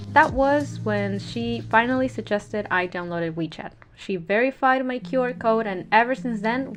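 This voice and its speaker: A young woman from Peru, a Spanish speaker, telling a scripted storytime in an earnest, gently self-mocking way. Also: medium pitch. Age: young adult. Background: music bed.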